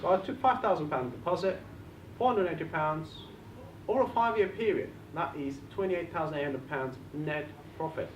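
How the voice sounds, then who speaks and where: A man's voice: fairly high pitch, moderate pace. A man from Bulgaria with a Bulgarian accent, presenting at a live seminar and speaking straight to the audience with questions. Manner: animated, addresses the audience directly with questions. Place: meeting room with an audience. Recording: echoey room, distant mic, muffled, faint hiss.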